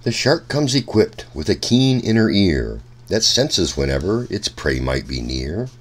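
A man reading a rhyming children's book aloud in a deliberate, sing-song storytelling manner. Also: low-pitched, big pitch swings.

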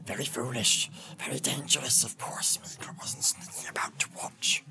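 A man voicing a wretched, wheedling creature in an audiobook, hissing and whispering, then breaking into shrill cries, with music underneath. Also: steady tone, moderate pace, vocal fry, close to an everyday voice. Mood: whining, sly. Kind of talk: audiobook character reading.

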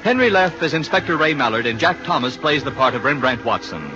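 A man's voice: mid-range voice, very animated, a little fast. A man announcing scripted credits in the style of 1950s radio. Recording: muffled 1950 radio broadcast recording. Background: organ music.

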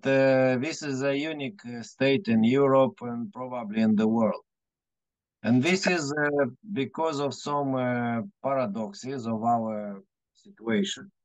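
A middle-aged man from Moldova with a Moldovan accent, presenting haltingly as a guest academic over a dull video call. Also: mid-range voice, no echo. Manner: halting, hesitant delivery. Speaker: guest speaker, historian. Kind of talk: seminar presentation. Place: indoors, room on a video call.

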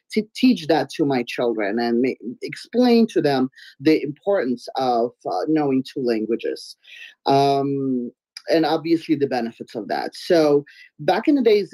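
A woman's voice: a bass voice, dry recording, low-quality recording. Giggly nasal woman. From Belarus. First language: Russian. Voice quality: a little nasal. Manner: giggly, sometimes loud, expressive. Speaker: guest artist. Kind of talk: casual interview talk. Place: home room, indoors. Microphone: webcam on a video call.

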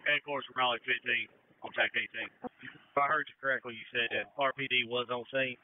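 A man's voice: medium pitch, steady tone, a little fast, slight background noise. A man, a fire engine officer, giving terse reports over a two-way radio. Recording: dry, muffled two-way radio.

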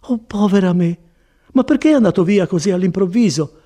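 A man's voice: high-pitched, expressive, brisk, dark tone, pitched above the performer's own voice. A man voicing a distressed, pleading peasant woman who laments in an audiobook story. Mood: anxious. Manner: plaintive, lamenting. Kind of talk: dramatized audiobook reading.

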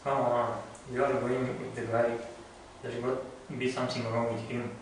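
A man's voice: mid-range voice, little pitch movement, moderate pace, natural voice. A man with a Spanish accent playing a blunt, girl-chasing young buddy in an amateur script reading. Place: wooden-floored room indoors. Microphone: far camera. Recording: echoey room, distant mic, faint noise.

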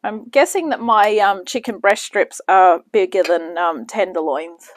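A woman's voice: medium pitch, measured pace, dry recording. A woman chatting casually as she cooks in her home kitchen and hosts her own cooking channel. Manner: chatty, talks while working in the kitchen.

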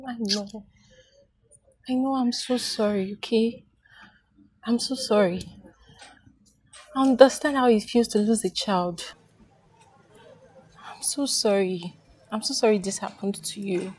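An actress playing a woman friend who consoles a grieving mother with soft, repeated reassurances. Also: medium pitch, unhurried, pauses often, slightly rough, rough recording. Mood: consoling. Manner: soothing, repetitive reassurances. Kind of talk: film drama acting.

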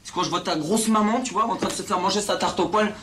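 A man's voice: high-pitched, fast, background noise, lightly acted. A man playing a touchy, mocking young guy from a housing estate in a film, talking slang. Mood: taunting. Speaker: film actor. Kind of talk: naturalistic film dialogue in street slang.

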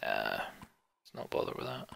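A man doing let's play game commentary, reading on-screen text aloud and thinking out loud. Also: unhurried, a little hiss or hum.

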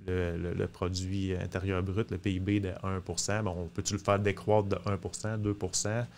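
Low, even-voiced Quebec man, halting. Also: slight background noise. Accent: Quebec French. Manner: hesitant, halting. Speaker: podcast guest. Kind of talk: casual explanatory discussion. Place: small podcast studio, indoors. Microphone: close studio mic on boom arm.

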